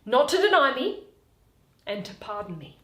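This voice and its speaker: A woman playing an earnest young gentleman who beseeches in Shakespearean verse. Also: on the high side, big pitch swings, normal speed. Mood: courteous pleading. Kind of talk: Shakespearean stage reading. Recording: roomy, echoey indoor recording.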